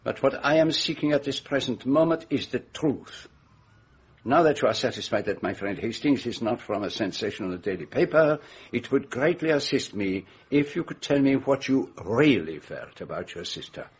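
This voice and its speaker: An actor playing an older, self-assured Belgian detective with a French-speaking accent, formal, precise and deliberate in a radio drama. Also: male voice, mid-range voice, animated, husky. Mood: courteous, insistent. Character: courteous, shrewd, self-assured older foreign male detective.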